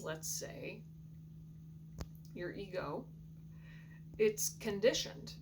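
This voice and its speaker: Slow, groggy-voiced woman reading tarot. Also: fairly low pitch. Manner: soft, reflective, unhurried, often clears throat. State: groggy morning voice. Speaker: tarot reader. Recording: steady background hum.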